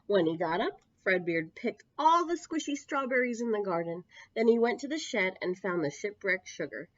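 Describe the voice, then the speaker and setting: A woman's voice: mid-range voice, expressive, moderate pace, no room sound. Woman reading a storybook, dry muffled recording. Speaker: children's storyteller at a public library. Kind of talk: expressive picture-book read-aloud for young children. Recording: dull lo-fi, close dry sound.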